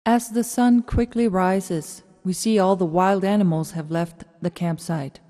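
Mellow, echoing actress as female drama narrator. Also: fairly low pitch, steady tone, unhurried, lightly acted. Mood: calm. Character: female narrator reading stage directions, calm observer. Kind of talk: scripted radio drama narration. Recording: long reverberant hall echo.

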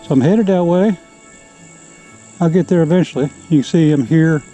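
A man's voice: on the high side, normal speed, mellow, faint music behind. A man vlogging as he hikes a forest trail, talking easily to the camera he carries outdoors. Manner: easygoing, talking to camera while walking. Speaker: hiker vlogger. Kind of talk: casual hiking narration. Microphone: action camera carried while hiking.

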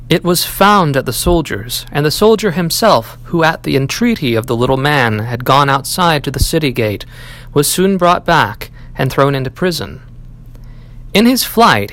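Man reading fairy tales, very animated pitch. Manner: storybook delivery, acting out dialogue. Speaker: audiobook reader, library staff. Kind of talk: expressive scripted fairy-tale narration.

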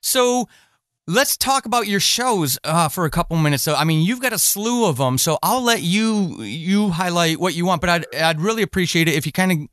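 A male podcast host with a fairly high, very smooth voice in a dry recording. He chats casually with his guest and listeners. His delivery is earnest and encouraging. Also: lively intonation, normal speed, a bit bright, no room sound. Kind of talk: casual conversational interview.

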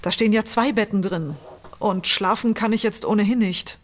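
A woman's voice: mid-range voice, steady tone, normal speed, close to an everyday voice. An actress playing an anxious female scientist in an audio drama. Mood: anxious, pleading. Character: anxious female oceanographer seeking company. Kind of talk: German audio drama acting.

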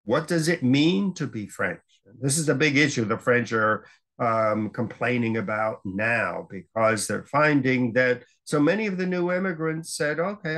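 An older man giving a library slide lecture on world affairs, speaking in an unhurried, emphatic way with long pauses. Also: mid-range voice, fairly bright tone.

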